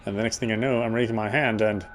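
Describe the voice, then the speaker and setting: A man's voice: on the low side, steady tone, fast talker, soft background music. A man reading a game's story narration aloud in a dramatic storytelling style.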